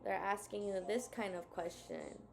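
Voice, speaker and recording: A woman's voice: mid-range voice, normal speed, gravelly, a little hiss or hum. A woman co-hosting a casual talk, sharing everyday examples in a chatty way. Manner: chatty, storytelling. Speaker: co-host.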